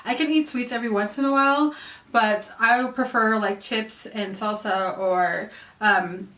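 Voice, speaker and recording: A woman's voice: on the high side, fairly even intonation, unhurried, pushed and bright. A woman in her late thirties from California, chatting casually through a question-and-answer vlog, rambling with lots of "um" and "like". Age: 30s. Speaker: YouTuber. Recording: roomy echo, mic at a distance.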